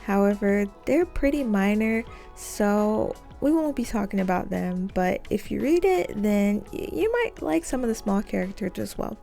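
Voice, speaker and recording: A woman's voice: medium pitch, lively intonation, moderate pace, gravelly, hardly any pauses, dark tone, soft background music. A woman hosting as a VTuber, narrating a webtoon review with casual asides. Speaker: VTuber host.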